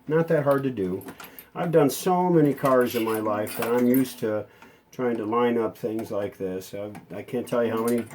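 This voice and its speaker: Mellow-voiced man chatting through a repair. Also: lowish voice, slight background noise. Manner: folksy, chatty, thinking aloud while working. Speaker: hobbyist restorer presenting a repair. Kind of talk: casual hands-on workshop narration.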